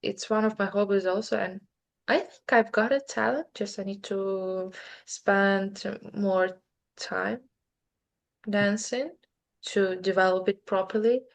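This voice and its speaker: A woman's voice: mid-range voice, steady tone, slow, creaky. A woman in her twenties with a Ukrainian accent, a native Ukrainian speaker talking as an interview guest in a thoughtful, hesitant way. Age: 20s. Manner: thoughtful, hesitant, many fillers and restarts. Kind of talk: casual interview chat.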